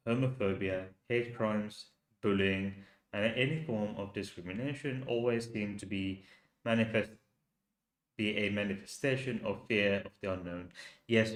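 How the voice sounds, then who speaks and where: A man from Finland with a Finnish accent, hosting a casual live stream in English and pausing often to restart his sentences. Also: on the low side, fairly bright tone. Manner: hesitant, halting with many restarts. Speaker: channel host. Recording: roomy echo.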